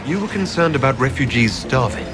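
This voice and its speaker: An actor playing a proud, sceptical adventurer in a video game, questioning and self-assured. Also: male voice, medium pitch, expressive, a little fast, natural voice. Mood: inquiring. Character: proud, sceptical male adventurer leading a fledgling order. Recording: loud game music behind.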